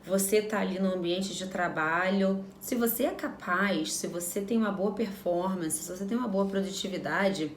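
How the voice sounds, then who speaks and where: A woman's voice: medium pitch, normal speed, few pauses, echoey room, a little hiss or hum. A Brazilian woman in her thirties talking frankly to camera in a casual vlog, repeating phrases for emphasis. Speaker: vlogger.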